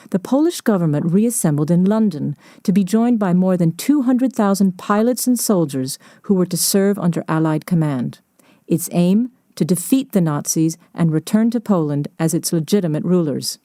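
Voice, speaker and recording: Female documentary reporter, mellow and slightly low, scripted narration, dry close recording. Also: no echo.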